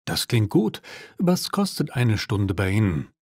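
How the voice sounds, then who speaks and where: A man's voice: lowish voice, sing-song, unhurried, darkish tone, no room sound, clean studio sound, full character voice. A man playing a polite, businesslike father making an enquiry in a scripted language-lesson dialogue. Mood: courteous. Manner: courteous, businesslike. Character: polite father seeking tutoring for his daughter.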